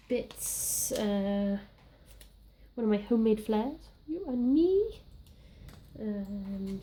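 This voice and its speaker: Welsh woman, young, slightly croaky from a cold, chatty and rambling, warm gravelly tone. Casual crafting vlog. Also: fairly high pitch, a little hiss or hum. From Wales. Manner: chatty, rambling, self-deprecating. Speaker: scrapbooking crafter.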